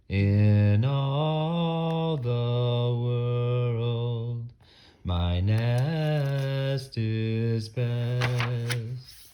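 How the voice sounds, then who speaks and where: A woman's voice: rumbling low, voice pushed down, full character voice. A woman voicing a contented, easygoing male bird from a storybook in a simple manner, slightly muffled. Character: happy, easygoing male bird, a devoted husband. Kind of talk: storybook character voice.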